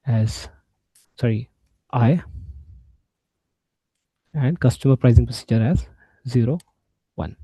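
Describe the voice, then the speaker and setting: A man giving an informal software walkthrough, explaining step by step in a halting way. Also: mid-range voice, no echo, poor audio. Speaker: SAP instructor.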